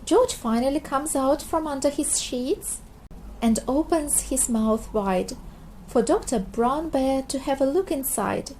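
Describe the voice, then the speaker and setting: A woman narrating a children's storybook in a scripted, expressive read-aloud style for very young listeners. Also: high-pitched, a little hiss or hum, rough recording. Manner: slow, expressive delivery for small children.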